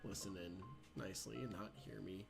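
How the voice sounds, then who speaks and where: A man hosting a casual livestream, hoarse and raspy from a recent cold, talking hesitantly with many ums and stopping to clear his throat. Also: lowish voice, brisk, over music. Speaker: livestream host.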